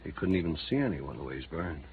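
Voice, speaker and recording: An American actor playing a sober, skeptical newspaper reporter in 1950s radio drama style. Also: male voice, a bass voice, sing-song, quick pace. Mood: doubtful, somber. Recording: muffled vintage radio recording, faint noise.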